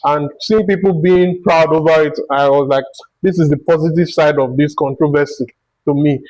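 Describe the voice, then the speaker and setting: A man's voice: fairly high pitch, fairly even intonation, normal speed, a little mellow, low-quality recording. A man with a Nigerian accent hosting a conversational interview, thinking aloud in long, winding turns. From Akwa Ibom, Nigeria. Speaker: interview host.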